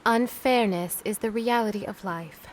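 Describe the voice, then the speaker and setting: A woman playing a stern, resigned, protective mother in an audio drama, quietly admonishing. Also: mid-range voice, normal speed, slight background noise, natural voice, poor audio.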